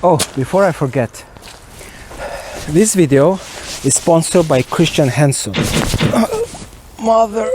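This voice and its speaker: A middle-aged man giving a casual, tongue-in-cheek vlog explanation to camera, speaking informally and deliberately. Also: on the high side, expressive. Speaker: video host and music producer. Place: outdoors, park woodland and street. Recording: outdoor ambient noise.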